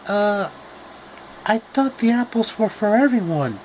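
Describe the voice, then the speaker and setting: A man's voice: high voice, very animated, unhurried, darkish tone, slight background noise, pitched above the performer's own voice, poor audio. A man voicing a cheerful, boastful young girl adventurer in a chatty, self-assured way for a story. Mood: sassy.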